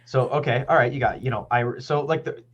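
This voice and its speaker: A man's voice: mid-range voice, brisk. A man co-hosting a podcast in casual chat, joking and swearing. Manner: animated, joking, profane. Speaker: podcast co-host. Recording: dull lo-fi sound.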